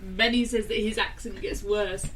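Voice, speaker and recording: A woman's voice: mid-range voice, steady tone, moderate pace, fairly bright tone, distant mic, a little hiss or hum. A British woman co-hosting a radio show, teasing and chatting casually. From Britain. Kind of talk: casual banter.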